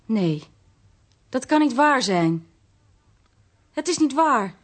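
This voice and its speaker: A high, husky, innocent Dutch woman. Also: sing-song, takes it slowly, heavily acted. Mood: disbelieving. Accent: Netherlands Dutch. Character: trusting, childlike innocent woman, partner of a burglar. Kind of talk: Dutch radio drama acting.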